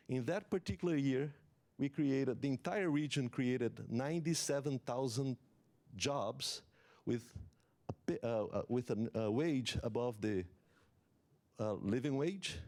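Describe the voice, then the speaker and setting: Man, English, conference keynote, explanatory, halting restarts. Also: mid-range voice, darkish tone. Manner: earnest, explanatory, with many fillers and restarts. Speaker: economist giving a summit talk.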